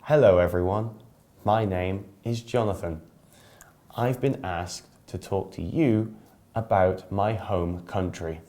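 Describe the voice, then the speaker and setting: Young man from England, low and dark, slow measured delivery. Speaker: English teacher. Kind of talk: scripted lesson monologue.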